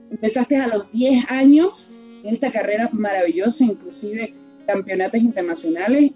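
A woman's voice: on the high side, dark tone, highs cut off. A woman hosting a radio show, effusive and welcoming, piling on superlatives as she introduces a guest. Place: Toronto.

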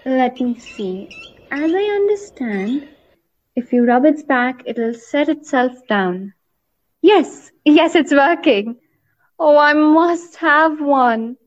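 An actress playing a woman hunter who stalks her target and marvels at winged creatures, thinking aloud in deliberate short phrases in an audio drama. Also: high-pitched, animated. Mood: enchanted. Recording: dull lo-fi recording.

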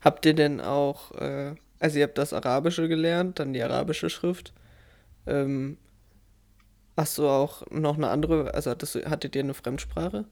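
Curious male interviewer with a gravelly, somewhat high voice, casual tone. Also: moderate pace, darkish tone. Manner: curious, asks follow-up questions. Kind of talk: casual conversation.

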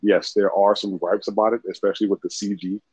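A man's voice: fairly low pitch, little pitch movement, a little fast, darkish tone, breathy edge, no room sound. A man co-hosting a podcast in casual chat, rambling and swearing freely as he talks. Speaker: podcast co-host. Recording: dull lo-fi dry recording.

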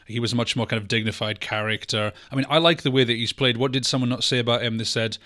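Male co-host, rapid and bright, eager conversationalist. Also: mid-range voice, fairly even intonation. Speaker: podcast co-host. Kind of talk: casual podcast conversation.

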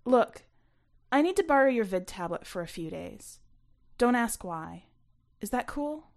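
A woman's voice: on the high side, sing-song, measured pace, creaky, voice pushed up, full character voice. A woman voicing the guarded, protective young narrator of a story in an earnest, reassuring way. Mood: casual, guarded.

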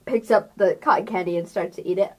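A woman's voice: medium pitch, steady tone, quick pace. A woman running a tabletop game as game master, narrating casually.

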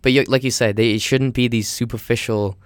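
A man's voice: medium pitch, steady tone, fairly quick, clear, resonant tone, no echo. A young man chatting casually in an easygoing, rambling way, with lots of "like" and "you know". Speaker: conversation partner. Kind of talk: casual chat.

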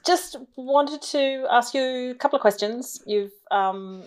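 A woman's voice: high-pitched, takes it slowly, darkish tone. A woman hosting a podcast, introducing guests in a friendly, teasing way. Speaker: podcast host. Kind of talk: casual podcast interview.

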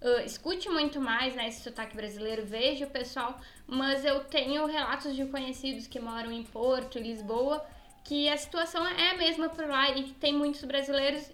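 A young woman co-hosts a casual podcast chat. She explains things at length and keeps checking in with tag questions. Her voice is high, and the recording has noticeable room echo. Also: bright, forceful tone. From Brazil. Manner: explanatory, thinks aloud with frequent tags. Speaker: podcast co-host. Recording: echoey room.

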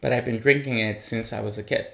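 Chilean-raised man with a gravelly voice. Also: medium pitch, steady tone, quick pace, reverberant. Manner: thoughtful, with frequent fillers and restarts. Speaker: guest, tea sommelier. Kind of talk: relaxed interview conversation in English.

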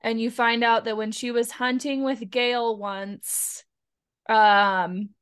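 A woman's voice: a little high, steady tone, takes it slowly, clean tone. A woman co-hosting a book podcast, recapping a story in casual, informal talk full of filler likes.

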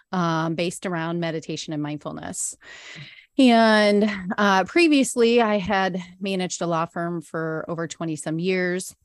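A woman's voice: lowish voice, dry recording, clean studio sound. A middle-aged woman, a meditation instructor, talking earnestly as a podcast guest with frequent ums. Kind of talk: casual interview chat.

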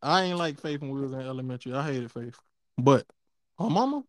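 A man in his twenties co-hosting a podcast, explaining things in a relaxed way with lots of repeated fillers. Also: medium pitch, fairly quick, no room sound. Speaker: podcast co-host. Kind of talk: casual podcast chat.

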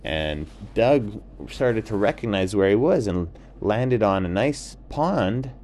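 A man with a fairly low voice reads as a children's storyteller. He goes very slowly, in simple phrases with repeated words, as for a bedtime tale. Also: animated, darkish tone. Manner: simple, repetitive, asks listeners questions.

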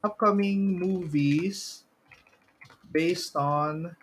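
Higher-voiced man chatting casually. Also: very slow. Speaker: podcast co-host. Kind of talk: casual chat about movies and games.

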